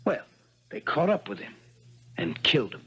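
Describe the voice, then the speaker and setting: An actor playing an earnest, dogged American country lawyer in a 1970s radio drama, husky and folksy, with dramatic insistence. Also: male voice, on the high side, huge pitch swings, normal speed, fairly bright tone, exaggerated voice. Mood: triumphant, insistent.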